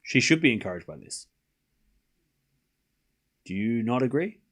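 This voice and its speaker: A man's voice: mid-range voice, very animated, unhurried, a bit bright. Man with a mild Australian accent, livestream commentary. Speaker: livestream watchalong host and commentator. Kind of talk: live tennis commentary mixed with casual chat reading viewer comments.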